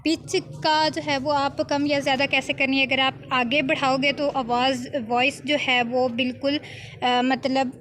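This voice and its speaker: A woman giving an informal software tutorial, explaining step by step with frequent check-in tags. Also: high voice, flat delivery, measured pace. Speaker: video editing instructor.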